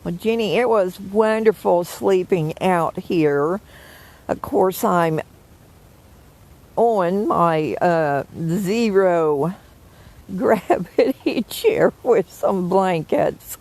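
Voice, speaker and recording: An older woman with a hoarse, froggy morning voice, chatting gently and affectionately with live viewers from the woods. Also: on the low side, extremely slow. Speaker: vlogger greeting live viewers. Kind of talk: casual live chat. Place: outdoors in the woods.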